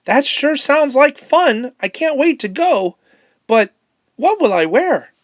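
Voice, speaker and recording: A woman's voice: sits high, sing-song, slow, dry recording, studio quality, voice pushed up, full character voice. A woman voicing a worried young penguin in a children's storybook, fretful and full of exclamation. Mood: fretful, pondering. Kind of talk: children's storybook read-aloud.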